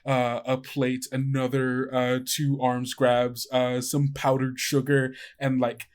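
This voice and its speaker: A man improvising descriptive narration as game master of a tabletop game, halting as he savors each sensory detail. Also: medium pitch, monotone.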